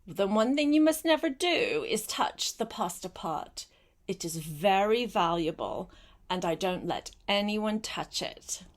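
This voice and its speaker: A woman voicing a firm, kindly old village witch from a storybook, speaking in a deliberate, instructing way. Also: medium pitch, lively intonation, close to an everyday voice. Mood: stern warning.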